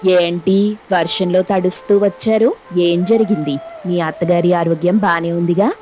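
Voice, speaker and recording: Lady as a fussy worried snack seller neighbour in a cartoon story, soft music behind. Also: fairly low pitch, steady tone, moderate pace, close to an everyday voice. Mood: alarmed concern. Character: concerned, fussing neighbour woman who sells snacks. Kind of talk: dramatized moral story cartoon dialogue.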